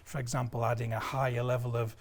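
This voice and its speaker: A man's voice: mid-range voice, little pitch movement, fast talker. A British man in his fifties explaining a product as a sales representative, enthusiastic, often repeating words as he goes. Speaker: company sales representative, guest. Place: indoor audio showroom. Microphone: lapel mic.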